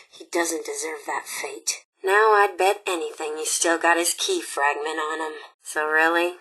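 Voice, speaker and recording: An actress playing a manic, sarcastic female robot raider who runs a radio in a video game, sassy and flippant with a raspy edge. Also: on the low side, a bit bright, low-quality recording. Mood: mock-sad, snide. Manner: sassy, flippant, swinging between mock sweetness and snark. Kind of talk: video game character voice lines.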